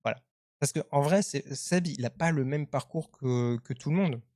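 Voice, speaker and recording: A man, hosting a live web-culture show in a casual, halting, conversational way. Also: mid-range voice, darkish tone, no echo, studio quality. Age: 30s. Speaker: live stream host.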